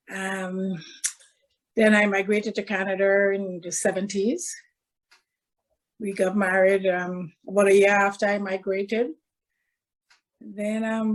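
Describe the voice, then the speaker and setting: An older woman from Trinidad with a Trinidadian accent, answering interview questions in a soft, hesitant way with many pauses. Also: medium pitch, low-quality recording. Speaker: interviewee, mother of a footballer. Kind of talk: casual interview.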